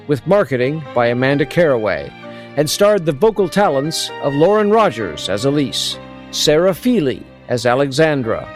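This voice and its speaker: A man reading scripted podcast credits as an announcer. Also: a little high.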